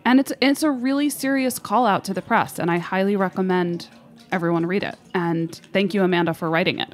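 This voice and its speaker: A woman co-hosting a casual food show, enthusiastic and quick to laugh. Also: mid-range voice. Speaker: podcast co-host. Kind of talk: casual chat.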